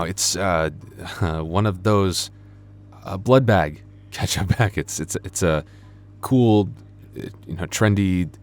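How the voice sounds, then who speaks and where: A man acting a flustered young vampire store clerk in an audio roleplay, stammering nervously and awkwardly. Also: deep voice. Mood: nervous bluffing. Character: flustered young male vampire working convenience store night shift. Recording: faint background noise.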